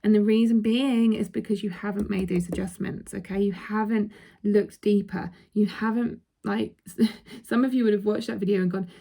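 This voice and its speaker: A woman with a croaky throat doing a casual card reading for her viewers. She speaks chatty and direct, often checking in with "okay?" and laughing between points. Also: mid-range voice, steady tone, normal speed, mellow. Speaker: intuitive card reader.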